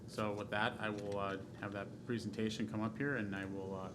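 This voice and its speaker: A city administrator introducing a speaker in a flat, hesitant way with many uhs. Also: male voice, lowish voice, brisk. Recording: noisy, distant from the mic.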